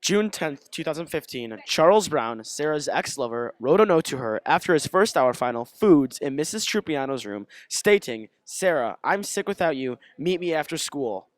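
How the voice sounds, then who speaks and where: A man's voice: a little high, lively intonation, moderate pace, few pauses, no room sound. A young man reading scripted narration for a student crime dramatization.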